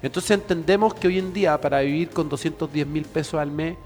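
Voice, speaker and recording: A man's voice: fairly high pitch, fairly quick, darkish tone, soft background music. Earnest man, reverberant studio. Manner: earnest, speechlike, with many fillers.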